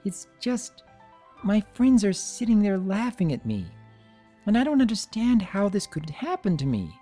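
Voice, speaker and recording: A man voicing an anxious, excitable young female cartoon unicorn pony with a fretful, pleading delivery. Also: high voice, measured pace, soft background music, pitched above the performer's own voice, full character voice. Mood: upset, self-pitying. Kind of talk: dramatic cartoon fan-fiction reading.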